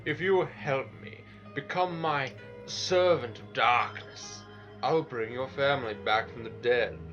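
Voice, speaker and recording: A man playing an arrogant god of darkness in a dramatic story reading, with a slow, scoffing, threatening manner. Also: medium pitch, fairly bright tone, dull audio, lightly acted. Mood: scheming. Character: menacing, arrogant male god of darkness and disorder. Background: music.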